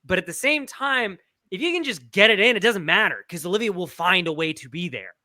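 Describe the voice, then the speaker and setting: A man's voice: high-pitched, expressive, a little fast, bright, forceful tone, no echo, studio quality. A man giving casual soccer analysis as a podcast panelist, emphatic and repeating himself for stress.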